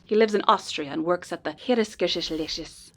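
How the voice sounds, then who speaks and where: A woman playing a polite, earnest informant in a noir radio drama, speaking in a measured, precise way. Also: on the low side, a bit bright. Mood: earnest, serious. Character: polite, earnest female informant helping a detective.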